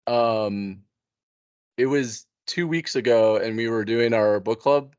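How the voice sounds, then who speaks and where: A man's voice: medium pitch, slow pace, a little mellow, clear, resonant tone, no echo, muffled recording. A man chatting casually with a group in a joking manner. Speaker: book club podcast member.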